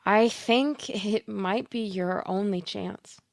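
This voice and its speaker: A woman's voice: medium pitch, slow, gravelly, dry recording, clean studio sound. A woman playing a blunt, slyly coaxing tarot reader in an audio play, with a theatrical manner and pet names for her client. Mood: grave, persuasive. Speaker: actor.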